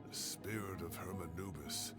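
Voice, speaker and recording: An actor playing an old man, a remorseful scholar in a video game story, with a gravelly voice that turns breathy and a weighty, theatrical delivery. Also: deep voice, normal speed, close to an everyday voice. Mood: grave, confessional. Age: older. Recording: game music behind the voice.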